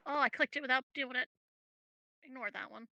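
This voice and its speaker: A woman's voice: sits high, brisk, vocal fry, sharp tone, rough recording. A woman casually chatting as a player in an online tabletop game.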